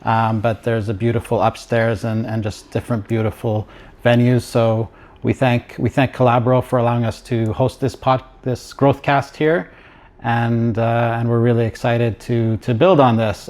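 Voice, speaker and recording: A man from Ottawa co-hosting a live podcast, speaking in a measured, reflective way with some fillers. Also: mid-range voice, level tone, darkish tone. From Ottawa, Canada. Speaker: podcast co-host. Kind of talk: casual live podcast chat.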